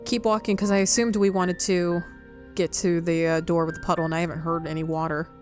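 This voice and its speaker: A woman's voice: lowish voice, flat delivery, a little fast, a little mellow, faint music behind. A woman playing through a game, reacting casually in an informal, sardonic and uneasy way. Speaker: game player reacting and voicing lines. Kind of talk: casual gaming playthrough commentary.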